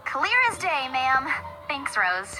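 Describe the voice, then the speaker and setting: A woman's voice: sits high, sing-song, slow, exaggerated voice. A woman voicing a young saleswoman in a visual novel game who brushes off her own injury in a breezy, reassuring way. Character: young female saleswoman shrugging off a fall. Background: background game music.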